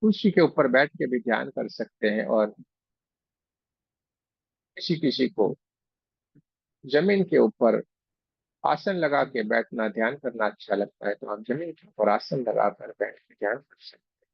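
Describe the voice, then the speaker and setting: Male meditation teacher, very slow and gentle, gravelly fry in the voice, muffled recording. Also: medium pitch, lively intonation, no echo. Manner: gentle, unhurried, devotional, phrase by phrase. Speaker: meditation guide and Reiki teacher. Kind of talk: guided meditation, slow spoken instruction with pauses.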